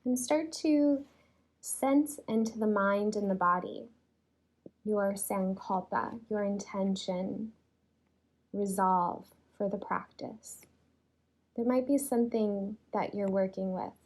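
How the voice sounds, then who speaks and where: A woman leading a guided yoga relaxation in a soothing, unhurried way, leaving long pauses between phrases. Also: mid-range voice, darkish tone, poor audio. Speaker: yoga nidra teacher.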